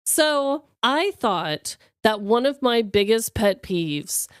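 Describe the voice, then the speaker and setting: A woman with a smooth, clear voice and very lively intonation chats as a podcast co-host. She is expressive and informal, recorded close and dry. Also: fairly high pitch, takes it slowly, no echo. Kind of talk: casual chat.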